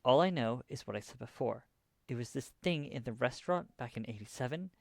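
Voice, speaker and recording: An actor plays an anxious, helpful friend in an audio drama. The voice has plenty of fry and sounds casual and concerned, in a very dry, close recording. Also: medium pitch, animated, fairly quick, no room sound. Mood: earnest, puzzled. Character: Sam, anxious, helpful acquaintance checking in.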